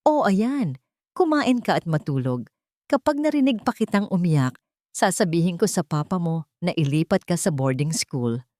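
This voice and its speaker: A woman's voice: lowish voice, expressive, moderate pace, warm tone, dry recording, studio quality, close to an everyday voice. A woman with a Filipino accent voicing a lazy, irritated, callous mistress in an audiobook drama. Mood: threatening. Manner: dismissive, snappish.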